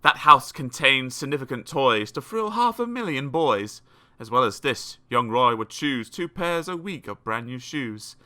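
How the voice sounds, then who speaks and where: A man narrating children's comic poems in a theatrical, expressive storytelling style. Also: medium pitch, measured pace. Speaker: audiobook narrator. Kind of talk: dramatic children's poetry reading.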